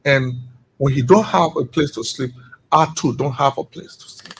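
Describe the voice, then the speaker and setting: A man's voice: mid-range voice, moderate pace, husky. A middle-aged Liberian man with a Liberian accent, speaking as a guest on a radio interview and pressing his case with emphatic rhetorical questions. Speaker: executive director of a returnee network.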